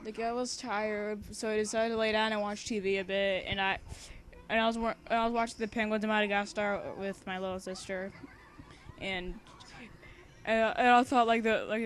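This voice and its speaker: A young woman chatting casually as she reads a story aloud, with a giggly, rambling, informal manner. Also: medium pitch. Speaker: fan fiction author and reader.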